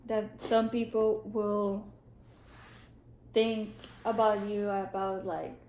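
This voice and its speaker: A woman whose first language is Spanish, playing a counselor in a role-played therapy session, asking gentle questions with many pauses and ums. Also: mid-range voice, flat delivery, a little hiss or hum. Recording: roomy echo.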